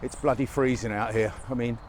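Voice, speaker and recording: A man's voice: mid-range voice, steady tone, brisk, a little mellow. Guy vlogging on a country walk, chesty with a cold, bit puffed out, faint traffic hiss behind, chest mic. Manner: chatty, wry, a little out of breath. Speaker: walking vlogger. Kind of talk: casual walk-and-talk narration. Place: outdoors by a busy motorway in winter fields. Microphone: chest-worn mic.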